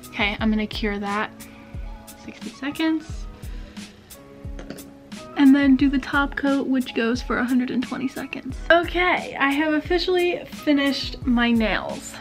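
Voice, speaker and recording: A woman in her twenties chatting casually to camera in a small bedroom, indecisive and drifting into soft whispers. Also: high-pitched, unhurried, faint music behind. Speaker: beauty vlogger. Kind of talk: casual chat.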